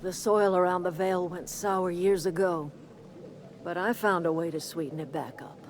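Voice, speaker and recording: Woman acting folksy, low-voiced old commune matriarch. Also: steady tone, moderate pace, a little hiss or hum, close to an everyday voice. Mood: gentle, folksy. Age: older. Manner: folksy, motherly, calls people dear. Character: kindly, plain-spoken older woman leading a garden commune. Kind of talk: video game character acting.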